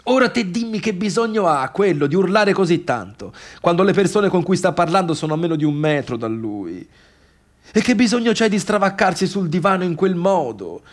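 An actor playing a bitter, sarcastic failed pianist turned music critic in a theatrical radio monologue, complaining colloquially in a Tuscan accent. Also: male voice, high-pitched, big pitch swings, fairly quick. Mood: irritated, sarcastic. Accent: Tuscan Italian.

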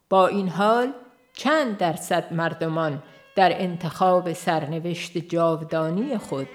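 A woman reads a religious book as scripted audiobook narration, in a measured, formal, literary manner. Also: on the low side. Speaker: audiobook reader.

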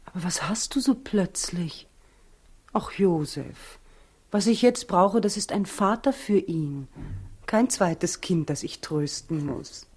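An actress in a 1960s German radio play, playing Mary as a gentle, happy young new mother with a soft, breathy voice. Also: on the low side, animated, exaggerated voice. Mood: gently concerned. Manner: gentle, unhurried.

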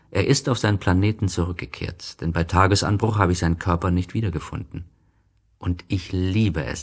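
Low, gravelly, husky male storyteller. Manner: reflective, measured, melancholy. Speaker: narrator. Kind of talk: scripted first-person literary narration.

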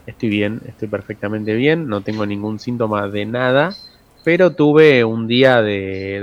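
A man's voice: medium pitch, no room sound. A man with a Rioplatense Argentine accent hosting a radio show in a casual, conversational style. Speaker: radio host.

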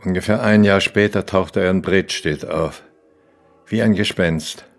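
An actor in a radio drama playing an ageing, bitter former opera star in his eighties, gravelly and breathy, theatrical and declamatory, often muttering to himself. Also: low-pitched, steady tone, a little slow. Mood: brooding. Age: 80s. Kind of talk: radio play acting.

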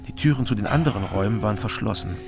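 Laconic gravelly private detective, low-voiced. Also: male voice, racing, music in the background. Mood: brooding, terse. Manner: terse, clipped sentences. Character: laconic male East German private detective. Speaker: narrator and lead actor. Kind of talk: radio crime drama, first-person noir narration.

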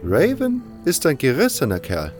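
A man's voice: medium pitch, wildly swooping pitch, normal speed, darkish tone, soft background music, pitched above the performer's own voice. A man voicing a blunt, knowing prison-camp guide who explains things frankly in a fantasy audio drama. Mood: frank, wary.

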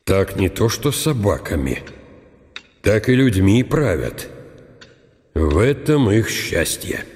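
An older actor playing a shrewd, ambitious old field marshal, musing deliberately to himself in a radio play. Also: male voice, on the low side, sing-song, darkish tone. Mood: wry. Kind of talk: radio drama monologue. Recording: long hall reverb, music underneath. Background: music.

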